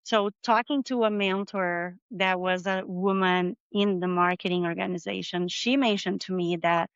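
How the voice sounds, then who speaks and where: A middle-aged Brazilian woman speaks English with a clear Brazilian Portuguese accent and a smooth, resonant voice. She talks openly and thoughtfully, with a few small non-native slips. The sound is dry and a little muffled. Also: fairly low pitch, fairly even intonation, normal speed, dry recording. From southern Brazil. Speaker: interview guest, marketing manager. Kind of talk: video interview conversation.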